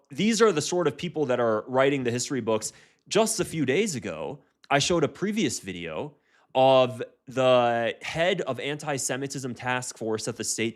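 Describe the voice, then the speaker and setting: An American man giving heated reaction commentary, often restarting his sentences. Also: mid-range voice. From United States.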